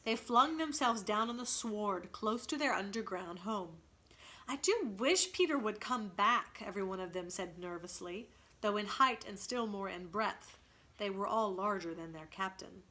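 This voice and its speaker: A woman with a bright, forceful voice at a medium pitch reads a story aloud. She sounds like a teacher, expressive and instructive in how she delivers the text. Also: normal speed. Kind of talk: storybook read-aloud with explanations.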